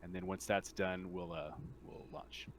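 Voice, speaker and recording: A man acting as game master, casually explaining rules in a halting way and rephrasing as he goes. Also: deep voice, flat delivery, noisy background.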